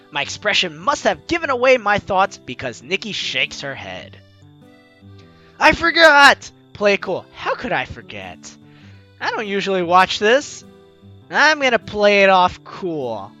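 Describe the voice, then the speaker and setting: A man's voice: high-pitched, bright, forceful tone, dull audio. A man hosting a let's-play, reading a visual novel aloud and breaking off to laugh and comment.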